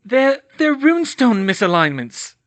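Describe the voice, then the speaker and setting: A man's voice: very high-pitched, sing-song, a little slow, a bit bright, no echo, voice pushed up, heavily acted. A man voicing a clever young woman who talks in a choked, pleading way. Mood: strained.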